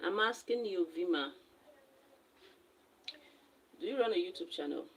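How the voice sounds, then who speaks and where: A woman's voice: fairly low pitch, lively intonation, measured pace, a bit bright, slightly rough, low-quality recording. A woman from Nigeria hosting a casual live stream, who has just woken up and reads viewer comments aloud and answers them directly. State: just woke up.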